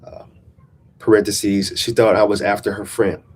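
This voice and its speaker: A man's voice: on the low side, little pitch movement, moderate pace, slight background noise. A man with a congested, nasal voice talking casually and reflectively as a relationship coach in a vlog. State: congested, recovering from a sinus infection. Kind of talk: casual motivational chat, reading out a subscriber email. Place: small-town coffee shop.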